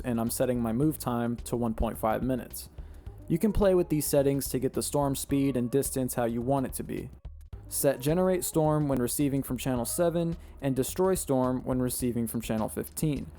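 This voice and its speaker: A young man, slightly hoarse from losing his voice, narrating a step-by-step game-building tutorial as a voice-over, reading off settings one by one. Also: medium pitch, normal speed, dark tone, faint music behind.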